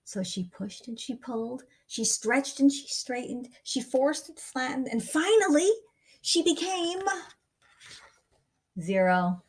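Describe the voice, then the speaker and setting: A woman reading a picture book aloud as a storyteller, with expressive, deliberate and dramatic phrasing for young listeners. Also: high-pitched, breathy edge. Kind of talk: expressive children's read-aloud narration.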